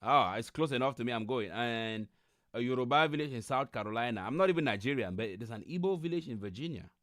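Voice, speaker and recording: A man's voice: mid-range voice, expressive, fast talker, fairly bright tone, no echo. Male livestream host, casual and incredulous reaction commentary, close dry sound. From Cameroon.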